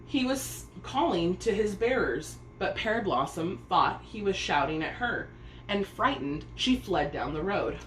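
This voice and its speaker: A woman reading a picture book aloud as a story time host, expressive and speaking to young listeners. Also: medium pitch, unhurried. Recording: echoey room with faint background noise.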